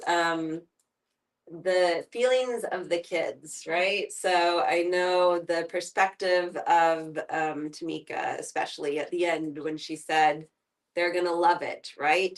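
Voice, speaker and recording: A woman hosting a casual group discussion, with a giggly, informal way of talking. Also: on the low side. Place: live group gathering in a room. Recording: distant, off-mic pickup.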